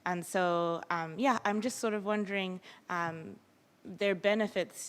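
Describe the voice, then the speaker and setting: A woman's voice: mid-range voice, steady tone, moderate pace, clear, resonant tone. A woman asking a question from the audience at a conference, hesitant and full of ums. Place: conference hall.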